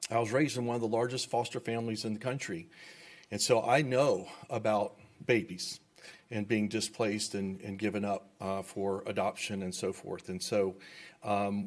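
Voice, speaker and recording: A man speaking as a developer at public comment in a government meeting, enthusiastic and rambling with repeated words. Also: fairly low pitch.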